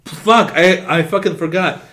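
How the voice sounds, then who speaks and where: Sweary, excitable male podcast co-host. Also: sits high, unhurried. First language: not English. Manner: swears constantly, rambling and excitable. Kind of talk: casual chat.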